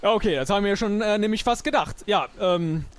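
A man's voice: sits high, fairly quick, smooth, lightly acted. A man improvising an eager, hasty treasure-hunting adventurer in an audio drama, chatty and stammering as he thinks aloud.

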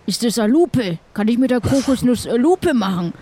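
A man's voice: extremely high pitch, lively intonation, moderate pace, dark tone. A man playing a cheeky, rambling pirate with a southern German accent in an improvised audio play. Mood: eager, puzzled. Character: cheeky, chatty male pirate, easily indignant.

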